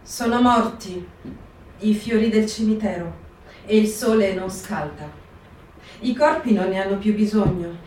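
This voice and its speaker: A woman performing a dramatic reading for radio with a cold, steady recitation. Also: mid-range voice, unhurried. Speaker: actor in a staged reading. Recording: echoey stage reading from far off, faint hiss.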